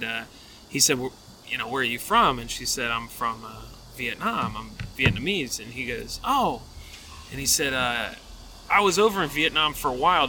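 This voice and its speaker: A man's voice: medium pitch, bright, forceful tone, a little hiss or hum, low-quality recording. A man co-hosting a podcast, chatting casually and joking, often chuckling mid-story. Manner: joking, chuckles often while telling stories. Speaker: podcast co-host. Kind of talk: casual chat.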